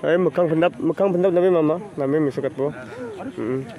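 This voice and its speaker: A man's voice: a little high, brisk, narrow, tinny audio. A man filming a casual walking vlog on his phone at a rural outdoor fair, chatting and greeting people as he goes. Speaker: vlogger. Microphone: handheld phone camera.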